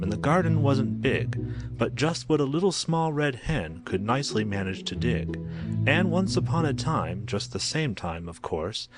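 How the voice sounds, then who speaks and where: A man narrating a rhyming children's story as a storyteller, with a sing-song, rhythmic delivery. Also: fairly low pitch. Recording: loud music under the voice. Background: music.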